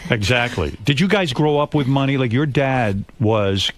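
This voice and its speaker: A man's voice: mid-range voice, lively intonation, normal speed, no room sound. A man hosting a radio interview, asking blunt, teasing, probing questions. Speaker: radio host. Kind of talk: casual interview chat. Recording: radio studio.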